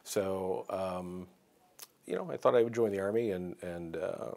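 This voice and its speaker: A man with a very low, deep voice tells his story in an interview. He talks in a measured way and fills his pauses with "uh." He is a veteran recalling his time in the Army. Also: gravelly, darkish tone. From United States. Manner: measured, pausing with frequent "uh". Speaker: city communications coordinator and Army veteran. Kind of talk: recorded interview testimony.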